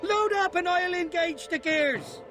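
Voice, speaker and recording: An actor playing a roguish, gleeful outlaw with an Irish accent in a western video game. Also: male voice, very high-pitched, a little slow. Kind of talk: dramatic video game acting. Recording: loud music behind the voice.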